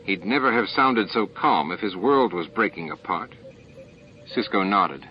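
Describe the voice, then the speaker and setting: A man's voice: low voice, animated, a little slow. A man reading a science fiction novel as a scripted audiobook narration. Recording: muffled, band-limited tape audio.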